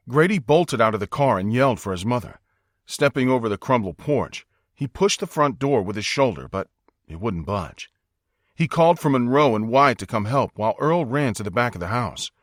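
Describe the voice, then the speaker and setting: An American man narrating a dramatic audiobook in a measured, suspenseful storytelling style. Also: mid-range voice, fairly bright tone, dry recording, clean studio sound.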